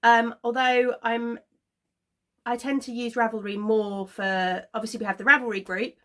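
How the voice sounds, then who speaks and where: A woman chats to camera from her living-room sofa, sounding slightly congested from a cold. Her voice sits a bit high, and she talks in a relaxed, rambling way, leaning on frequent ums and small laughs. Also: moderate pace. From Scotland. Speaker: knitting podcast host. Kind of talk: casual chat to camera.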